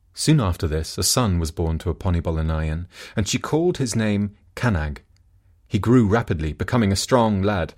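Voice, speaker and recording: A male narrator reads a folk tale from a script. His voice sits fairly low and swings widely in pitch, giving the storytelling a very animated shape. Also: husky. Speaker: audiobook narrator.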